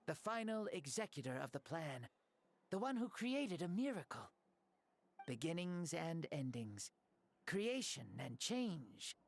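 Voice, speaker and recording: An actor playing a genial ancient man returned from death, telling his tale gently in game cutscene dialogue. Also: fairly high pitch, creaky, full character voice. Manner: gentle, lively, measured storytelling. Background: soft game music.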